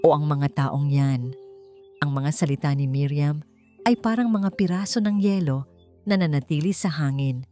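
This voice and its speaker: Mellow low-voiced Filipino woman narrating. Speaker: story narrator. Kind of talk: scripted dramatic narration of a romance story. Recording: faint music bed under the voice. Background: soft background music.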